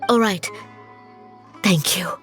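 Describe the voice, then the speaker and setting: Polite worried young village girl, anime game, normal pitch, faint music. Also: slow pace, a bit bright.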